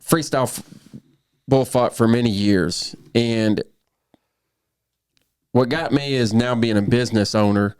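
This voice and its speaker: A man's voice: mid-range voice, measured pace, long pauses, a little mellow. A man in his thirties, a professional bullfighter, telling his story earnestly in a casual podcast conversation, often restarting his sentences. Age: 30s.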